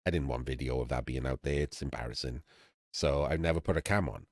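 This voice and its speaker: Very deep-voiced Welsh man, chatty. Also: brisk, no room sound, clean studio sound. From Wales. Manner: candid, chatty, frequent fillers and throat clearing. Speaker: streamer and channel host. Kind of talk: casual talk to camera-less audience.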